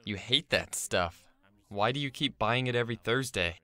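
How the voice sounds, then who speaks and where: A man playing a grumpy, pessimistic high school boy in an audio drama, dry and curt. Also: expressive, normal speed, pushed and bright, no room sound. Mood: impatient. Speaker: voice actor.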